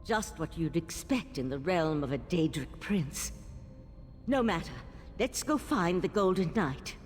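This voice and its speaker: A woman's voice: animated, a little slow. Earnest adventurer woman, cavernous reverb. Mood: determined. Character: resolute female adventurer companion, shaken yet brave. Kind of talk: scripted video game character dialogue. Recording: long cavernous reverb, rumbling background noise.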